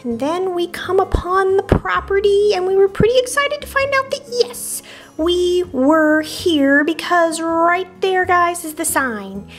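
Squeaky little lamb girl. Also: lively intonation, measured pace, soft background music. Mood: sweet, excited. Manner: chirpy, childlike, giggly. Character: cute excitable little lamb girl plush toy. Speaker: vlog host. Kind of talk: voice-over narration of a puppet travel vlog.

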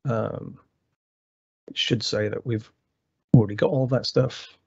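Gravelly-voiced man hosting a software tutorial, loose and full of fillers, on dry, dull-sounding audio. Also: medium pitch, steady tone, a little slow, dry recording. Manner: rambling, many fillers and restarts. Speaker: presenter. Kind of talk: technical webinar walkthrough. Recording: dry, slightly muffled webinar audio.

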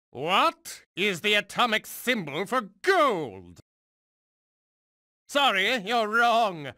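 An actor voicing a megalomaniac mutant genius supervillain in a video game, condescending, mocking and theatrical like a cruel schoolmaster. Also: male voice, high-pitched, pushed and bright, dry recording, studio quality, heavily acted. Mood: smug, taunting. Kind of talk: theatrical cartoonish game voice acting.

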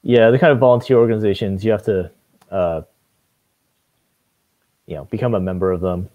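A young man from Maine, a city council candidate, talking on a video call from a room at home, relaxed and hesitant, with many fillers. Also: fairly low pitch, a little fast, dry recording, highs cut off. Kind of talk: casual video call interview. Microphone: video call webcam.

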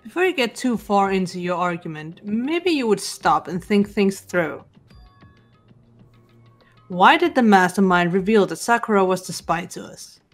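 A woman's voice: medium pitch, animated. A woman as a composed teenage girl over music. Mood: calm, stern. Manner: measured, stern. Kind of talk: amateur video game voice-over. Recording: background music throughout.

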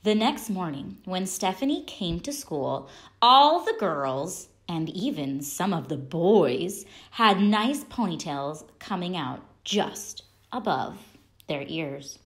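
A woman reading a children's picture book aloud as a storyteller, expressively and deliberately, with dramatic pauses. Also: lowish voice, big pitch swings.

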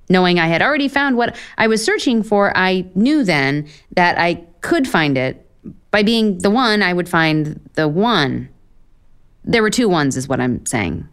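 An actress playing a flustered, self-deprecating author in a romantic comedy audio drama, with an American accent and halting, deadpan asides. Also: female voice, sits low. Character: flustered, sardonic, self-deprecating woman author on book tour.

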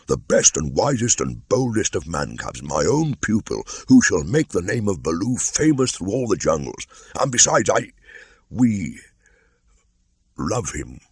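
A man's voice: sits low, big pitch swings, measured pace, slightly rough, dry recording, pitched above the performer's own voice, exaggerated voice. A man voicing a fond old male bear in a storybook reading, earnest and a little hesitant. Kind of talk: audiobook character voice.

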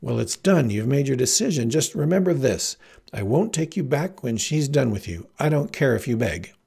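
Storybook role: haughty lovesick teenage girl, played by a male reader, theatrical and affected, gravelly edge. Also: medium pitch, expressive, unhurried, warm tone, full character voice. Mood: wounded defiance. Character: haughty, lovesick seventeen-year-old farm girl, affecting fancy airs.

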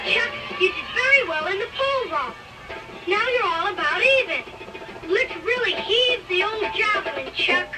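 An eager, competitive child from a cartoon sports story, acted by a performer. The voice is very high and swings widely, playing from an old read-along record with music. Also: lively intonation, moderate pace, low-quality recording. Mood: excited.

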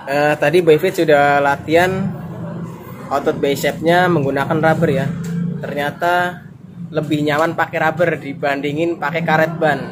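A man's voice: a little high, steady tone, normal speed, background noise. A man gives a casual exercise tutorial to camera, often adding little check-in tags for viewers. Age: 20s. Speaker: fitness YouTuber. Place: outdoors in a narrow walled residential alley. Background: music.

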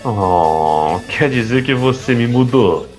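A man plays an evasive young playboy with troubles, in an audio drama. His mid-pitched voice swings widely, and music plays clearly behind it. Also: animated, slow. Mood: evasive, affectionate. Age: young adult. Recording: music under the voice.

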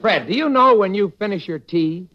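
A man's voice: high voice, expressive, moderate pace. A man playing an earnest baseball figure putting on refined literary airs in a 1940s radio comedy. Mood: earnest, proud. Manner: proudly explains trivia with mock gentility. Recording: muffled, faintly noisy 1940s radio recording.

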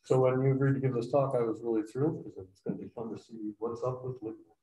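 A man's voice: medium pitch, fairly even intonation, fast talker, warm tone. A man, hosting a science night and interviewing the guest in a jovial, teasing way. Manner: jovial, teasing, laughs often. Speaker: host. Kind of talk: event introduction and interview. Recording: echoey room sound.

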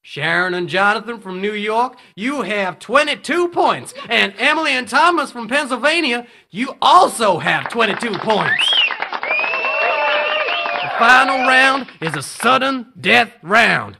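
An actor playing an excitable, showy male game show host in an audio drama. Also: very high voice, unhurried, gravelly, full character voice. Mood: excited, showy. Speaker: quiz host.

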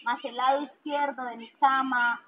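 A woman's voice: sits high, steady tone, moderate pace. A woman reciting poetry as a reader at a public event in an outdoor park. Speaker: poet reading in public. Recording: tinny band-limited megaphone, noisy outdoor ambience.

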